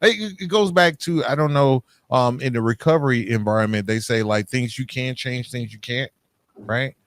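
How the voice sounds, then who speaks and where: A man's voice: medium pitch, moderate pace, a bit bright, dry recording. Casual man hosting a podcast, dry sound. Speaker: podcast host interviewing a guest. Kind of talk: casual conversational interview. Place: living room at home.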